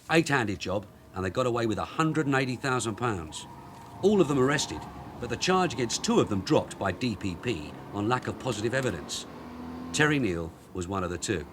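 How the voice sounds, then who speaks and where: A male actor plays a shrewd detective sergeant in a radio drama. He is middle-aged, blunt and probing, with a mid-pitched voice and lively intonation. His delivery is dry, that of a veteran policeman. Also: noisy background, lightly acted.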